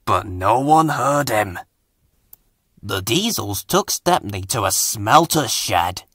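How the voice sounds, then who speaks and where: A man's voice: lowish voice, big pitch swings, slow, a bit bright, husky, dry recording, clean studio sound. A man narrating a scripted children's story.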